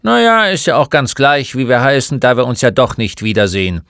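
A gruff old storybook shopkeeper, performed by a man, who fires off blunt, mocking questions. The voice is forceful and swings upward from a grumble, recorded very dry. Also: medium pitch, sing-song, brisk, no room sound, voice pushed up. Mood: gruff, curt. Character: gruff, mocking old male bookshop keeper. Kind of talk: storybook character voice.

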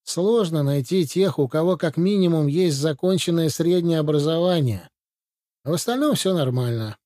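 A man reading a brisk Soviet military officer. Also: a little high, animated, warm tone, no echo, clean studio sound, lightly acted. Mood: briefing. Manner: matter-of-fact briefing delivery. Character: male Soviet military adviser, brisk and commanding. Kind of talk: audiobook reading.

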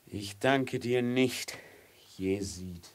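An actor playing a proud, bitter young male slave in a radio play, husky, both defiant and pleading. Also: lowish voice, very slow. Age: young adult. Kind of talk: German radio play acting.

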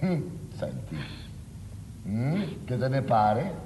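A man's voice: medium pitch, huge pitch swings, slow, gravelly, mellow, a little hiss or hum, heavily acted. An actor playing a haughty, scornful male guardian in a theatrical radio drama, declaiming in mocking, old-fashioned phrases. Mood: indignant, scornful. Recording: echoey hall, distant, music behind.

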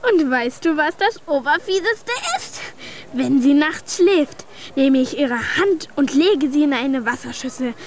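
A woman's voice: sits high, expressive, a little slow, a crowd in the background. A woman playing a brash, chatty, slightly mean schoolgirl with cheeky, slangy childlike delivery in a German children's radio play. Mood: gleeful, scheming.

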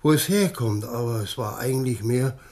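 An actor in a German radio play, playing a shaken, tipsy village man who tells of an uncanny sighting in a halting, insistent way. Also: medium pitch, warm tone, lightly acted. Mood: shaken, awed. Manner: halting, stammering, insistent eyewitness telling.

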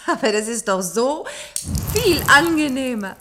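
An actress playing a skeptical, comfort-loving young woman of a future society, expressive and playfully doubting, in a German science-fiction radio play. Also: high voice, slow, heavy background noise. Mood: worried, dismissive.

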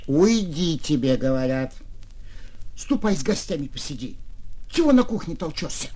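A man's voice: a little high, very animated, moderate pace, a little mellow, slight background noise. A man voicing an irritable, bossy housewife who snaps curtly in a radio story. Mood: irritated. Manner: curt, snappish. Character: irritable, bossy housewife, snapping at her husband.

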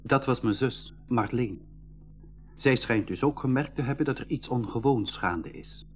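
A man's voice: mid-range voice, close to an everyday voice. A man with a Flemish accent playing a cautious, sensible eighteen-year-old brother in a 1980s school radio play, acting and narrating theatrically. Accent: Belgian Dutch (Flemish). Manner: theatrical, reasoning, storytelling delivery. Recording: dull lo-fi 1980s radio recording.

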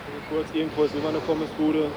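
A man's voice: on the high side, completely flat delivery, fast talker. A man explaining things casually in everyday conversational language on a muffled, noisy street recording. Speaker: interviewee.

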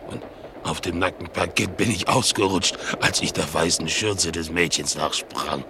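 A man playing a naive, excitable bulldog in a radio play, telling his tale expressively and mimicking the people he quotes. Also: moderate pace. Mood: eager, chatty. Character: excitable, naive male bulldog, chattering about his household. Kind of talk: German radio play acting. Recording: clearly noisy, train rumble behind. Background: vehicle rumble.

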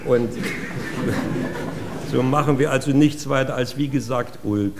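A man's voice: medium pitch, measured pace. Wry man on stage, noisy live recording. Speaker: performer introducing a concert. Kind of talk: live stage introduction, humorous.

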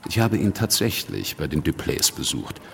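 An actor in a German radio drama playing a worldly, pragmatic revolutionary politician, speaking and recounting in a measured, dry, wry way. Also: male voice, a bass voice, lively intonation, vocal fry, a bit bright, big-room sound, a little hiss or hum, exaggerated voice. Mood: reflective. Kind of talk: German radio drama, first-person narration and dialogue.

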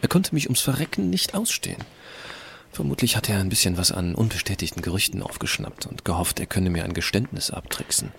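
Man as teenage hacker narrator, low voice, sardonic, confident, casual, scripted narration, faint hiss. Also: expressive, a little fast, fairly bright tone, full character voice. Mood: smug. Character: sardonic, self-assured teenage hacker narrating his own story. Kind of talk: scripted first-person audiobook narration. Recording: clearly audible hiss.

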